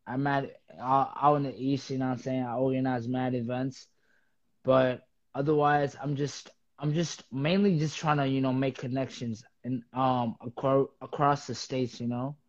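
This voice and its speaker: A man from Uganda talks casually as a guest, using relaxed slang and halting, restarting sentences. His voice comes through dry and a little dull, as over a remote call. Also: medium pitch, no echo. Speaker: guest, music artist and event organizer. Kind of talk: casual chat.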